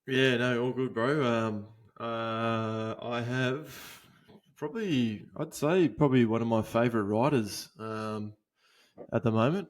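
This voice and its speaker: A young Australian man hosting a podcast, talking casually with lots of swearing, fillers and restarts. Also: medium pitch. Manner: laid-back, swears a lot, halting with fillers. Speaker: podcast host.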